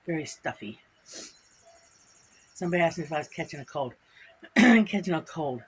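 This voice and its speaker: A woman's voice: deep voice, expressive, moderate pace, a little hiss or hum. A woman hosting a vlog in casual, rambling talk, stuffed up from allergies, outdoors with birds and insects around. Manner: chatty, rambling and repetitive. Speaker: vlog host. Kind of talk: casual chat to camera.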